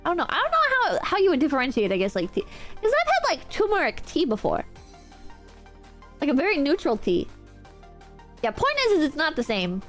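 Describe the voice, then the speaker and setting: A woman streamer with a nasal, stuffy-nosed voice and a sore throat from a cold, chatting excitably and yelling and swearing in bursts. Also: sits high, a little fast, pauses often, faint music behind. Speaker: streamer reading out online reviews. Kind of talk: casual livestream chat.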